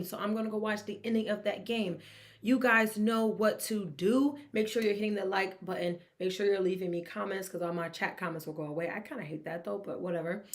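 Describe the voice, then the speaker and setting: A young woman hosting a casual sports commentary vlog, talking in an animated, teasing way and laughing often. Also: mid-range voice.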